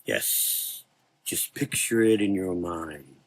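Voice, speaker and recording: Man voicing a slow, velvety, sinister soul reaper. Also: low-pitched, vocal fry, heavily acted. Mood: soothing, coaxing. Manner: slow, coaxing, solemn. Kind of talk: gothic horror audio drama.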